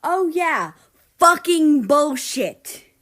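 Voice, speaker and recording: A woman's voice: sits high, big pitch swings, drawn-out words, fairly bright tone, pitched above the performer's own voice, exaggerated voice. Woman as a hot-tempered, indignant little guy. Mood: sarcastic disbelief. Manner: swearing, outraged, emphatic. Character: small, hot-tempered, indignant male Mexico.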